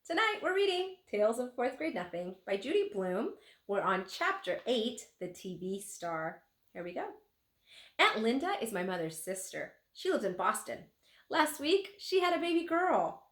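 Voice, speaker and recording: A woman reading a children's book aloud as a teacher would, with an expressive, animated delivery. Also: a little high, normal speed. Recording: roomy, echoing room sound.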